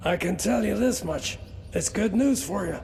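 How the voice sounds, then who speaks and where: An actor playing a brisk, gossipy prison guard in a fantasy video game. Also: male voice, high-pitched, animated, gravelly, a little mellow, a little hiss or hum. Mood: chatty, gossiping. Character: Bastian, bossy chatty prison guard man in fantasy.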